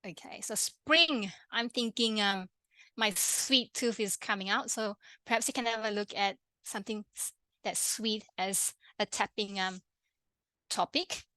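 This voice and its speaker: A woman with a Malaysian accent, a pharmacist and health coach, presenting an online workshop in a friendly, quick way with many fillers and restarts. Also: medium pitch, sharp tone, no echo. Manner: fast-talking, friendly, with frequent fillers and restarts.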